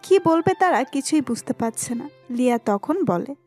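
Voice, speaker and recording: Female story narrator, very high voice, scripted romantic storytelling, faint music behind. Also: animated, normal speed, a little mellow.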